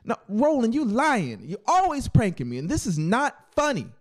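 A man's voice: very high-pitched, huge pitch swings, moderate pace, voice pushed up, full character voice. A man voicing an anxious, panicky young boy in an audiobook story, stammering and whimpering. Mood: panicked, defiant.

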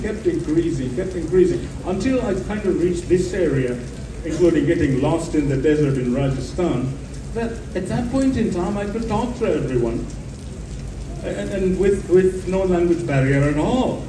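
A middle-aged or older man telling an anecdote as a panelist, repeating phrases for emphasis. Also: a little high. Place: festival stage, before an audience. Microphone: handheld microphone through PA, filmed from audience. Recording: echoey distant stage PA with noisy ambience.

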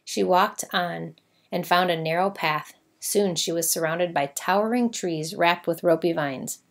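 A woman's voice: on the low side, measured pace. A woman narrating a children's storybook, reading aloud expressively.

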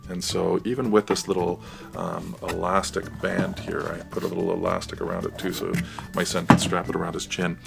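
A man's voice: level tone, a little fast, gravelly, over loud music. An American man giving a casual, hesitant, off-the-cuff walkthrough of his DIY project. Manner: hesitant, off-the-cuff, low-key.

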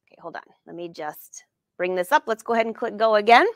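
A woman's voice: mid-range voice, fast talker, no echo. A woman in her forties leading a live sewing lesson from her studio, chatting upbeat and answering viewers by name. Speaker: sewing educator and brand ambassador.

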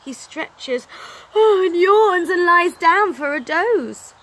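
Woman reading children's story, animated, dramatic, slow pace, bright, outdoor recording. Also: extremely high pitch. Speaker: storytelling teacher. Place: outdoors on an open wooden deck. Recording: outdoor recording, clear background noise.

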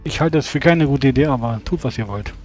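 A man playing a tabletop role-playing game in character, speaking in measured, formal words with hesitant pauses. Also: medium pitch, darkish tone, soft background music.

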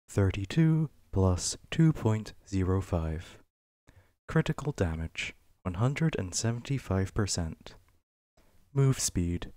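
A man reading reference text aloud for ASMR in a measured, list-like way, with pauses between items. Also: lowish voice, darkish tone, no echo, clean studio sound. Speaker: ASMR reader. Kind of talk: ASMR reading of a game wiki page.

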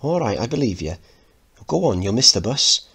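A man's voice: mid-range voice, big pitch swings, moderate pace, creaky, a bit bright, slightly rough, voice pushed up, full character voice. A man voicing a brash, teasing working-class mother with a blunt, ribbing manner, reading from a play.

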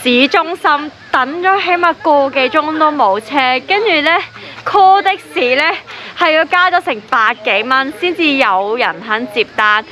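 A woman's voice: high voice, expressive, unhurried, hardly any pauses, pushed and bright. A young woman with a Hong Kong Cantonese accent, chatting casually through a camping vlog and laughing at herself. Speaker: vlogger. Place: outdoors on a beach campsite. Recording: music playing under the voice.

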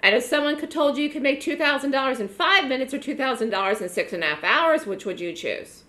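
A hoarse woman giving a live online trading lecture to her trading room, chatty and repeating words for emphasis. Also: high voice, lively intonation, fast, bright, forceful tone, roomy sound. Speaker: trading educator running a trading room.

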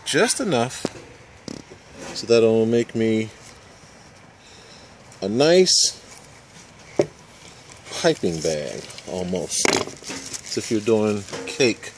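A man in his forties giving a casual step-by-step how-to demonstration in a backyard, with relaxed fillers. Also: lowish voice, very slow, long pauses, noisy background. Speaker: hobby boatbuilder. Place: backyard outdoors. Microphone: camera mic, handheld camera nearby.

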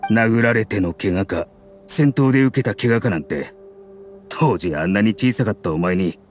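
An actor voicing a grim, loyal male mercenary in a game dub, telling a grave story in a measured way. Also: fairly low pitch, lively intonation, faint music behind, natural voice.

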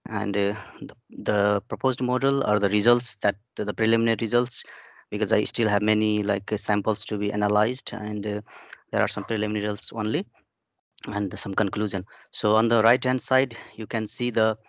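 A man's voice: lowish voice, little pitch movement, normal speed, no room sound. A young man from Nepal giving a webinar talk on his research, hesitant with many fillers and restarts. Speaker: PhD researcher presenting. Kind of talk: webinar presentation. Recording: muffled dry video call audio.

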